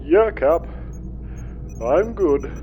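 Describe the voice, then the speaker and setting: A man's voice: fairly high pitch, animated, very slow, dull audio, background noise. An actor playing a naive, good-natured crewman in a sci-fi audio comedy, hesitant and literal in manner. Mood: nervous.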